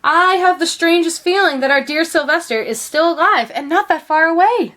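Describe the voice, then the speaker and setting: A woman's voice: very high voice, normal speed, pushed and bright, pitched above the performer's own voice. A woman voicing a devoted mother donkey in a storybook, speaking in a wistful, hopeful and emphatic way.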